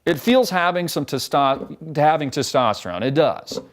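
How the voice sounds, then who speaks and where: A man's voice: on the high side, expressive, moderate pace. A man hosting a show, delivering a sarcastic, profane, mocking rant as a monologue. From United States. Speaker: show host. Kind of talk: ranting political monologue.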